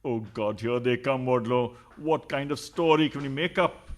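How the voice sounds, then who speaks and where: Man acting scheming middle-class husband, medium male pitch, sarcastic, evasive excuses, radio farce. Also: normal speed, slight background noise, natural voice. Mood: exasperated. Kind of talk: farcical radio play acting.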